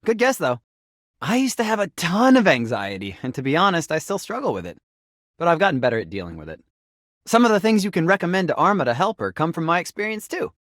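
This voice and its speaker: A man's voice: on the high side, very animated, fast, a bit bright, dry recording, clean studio sound. A man voicing a friendly, geeky, playful game writer in a video game, chatty, informal and enthusiastic. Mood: upbeat, candid. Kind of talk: video game character voice acting.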